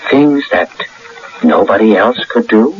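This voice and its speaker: An actor playing an anxious, earnest ordinary bookkeeper in a radio drama, speaking hesitantly with a stammer. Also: male voice, on the low side, expressive, measured pace. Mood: nervous, earnest. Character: anxious ordinary bookkeeper burdened with miraculous powers. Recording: dull, noisy old radio broadcast.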